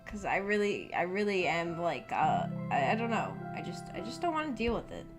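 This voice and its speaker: A woman's voice: lowish voice, very animated, moderate pace. A woman streamer chatting casually to viewers, telling personal stories in a rambling, self-deprecating, hesitant and giggly way. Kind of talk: casual livestream chat and personal storytelling. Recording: background music under the voice. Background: background music.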